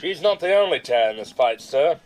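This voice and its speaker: A man's voice: fairly high pitch, expressive, normal speed, faint music behind, natural voice. A man playing a dutiful, anxious soldier making deferential, urgent reports to his captain in an audio drama. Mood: urgent, excited.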